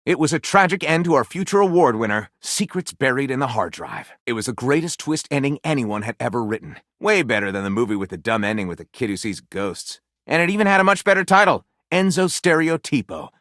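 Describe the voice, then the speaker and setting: Swooping, theatrical wisecracking author. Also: male voice, medium pitch, fairly bright tone, dry recording, studio quality. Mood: mock-dramatic. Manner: dramatic, wry, punchy delivery with flippant asides. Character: excitable, sardonic pulp-fiction author, flamboyant and self-pleased. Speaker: video game voice actor. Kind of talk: theatrical comedic game dialogue, mock-literary narrating.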